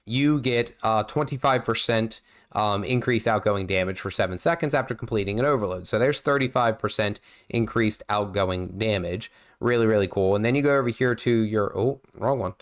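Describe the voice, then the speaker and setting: A man's voice: lowish voice, moderate pace. A man with a nasal, under-the-weather voice, rambling through casual livestream commentary with lots of fillers and restarts while he plays. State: nasal from feeling unwell. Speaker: gaming livestream host.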